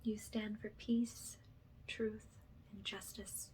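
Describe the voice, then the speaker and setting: A woman speaking softly and breathily, often slipping into a whisper, gently reassuring in the style of a guided ASMR energy-healing session. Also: mid-range voice, level tone, poor audio. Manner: gentle, slow, reassuring, affirming.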